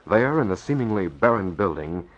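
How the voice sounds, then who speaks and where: A deep-voiced man delivers dramatic narration for an old radio serial. His pitch moves with plenty of swing. The vintage recording is muffled. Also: sing-song, normal speed, slight background noise.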